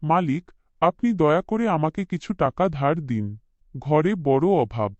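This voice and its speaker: A man's voice: a little high, expressive, normal speed, darkish tone, no echo, lightly acted. A man voicing a desperate poor working father in a cartoon, deferential and sorrowful, in a dull recording. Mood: pleading. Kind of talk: cartoon voice acting.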